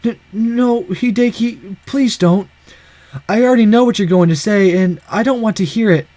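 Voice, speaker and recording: A man voicing a shy, defensive teenage girl with a soft, hesitant manner in a visual novel. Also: high voice, animated, measured pace, no room sound. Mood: hurt, hesitant.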